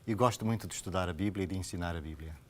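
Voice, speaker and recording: A man's voice: low-pitched, rapid-fire. A man from Angola with an Angolan Portuguese accent, a pastor teaching about the Bible in conversation, earnest and didactic with emphatic repetition. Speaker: pastor, programme guest.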